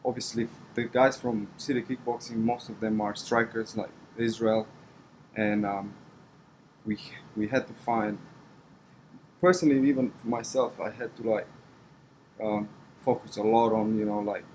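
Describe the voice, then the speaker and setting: Low-voiced Romanian man, halting interview answers. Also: fairly even intonation, darkish tone, a little hiss or hum, poor audio. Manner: halting, repeats words as he goes. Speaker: wrestling coach.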